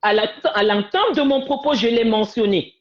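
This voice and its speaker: A woman's voice: a little high, normal speed. A middle-aged woman in a heated online debate, insistent and repeating names to cut in. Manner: insistent, repeats name to interrupt. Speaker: panelist. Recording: muffled lo-fi stream audio.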